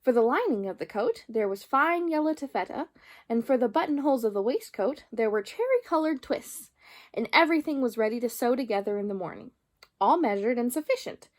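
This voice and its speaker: A woman storyteller reading a children's book aloud in an expressive narrating style. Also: on the high side.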